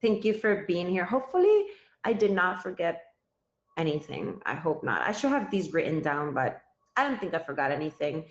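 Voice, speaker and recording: A woman's voice: lowish voice, big pitch swings, normal speed. A woman in her thirties from Cuba, a native Spanish speaker, hosting a live stream and chatting directly with her viewers. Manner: chatty, addresses viewers directly, thanks gifters. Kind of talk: casual live stream chat. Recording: roomy, slightly dull sound.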